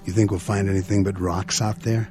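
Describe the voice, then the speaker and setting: A man's voice: low-pitched, little pitch movement, brisk, darkish tone, soft background music, lightly acted. A man playing a dutiful, questioning crewman of a stranded spaceship in a radio drama, speaking earnestly and with deference. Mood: uneasy, wry.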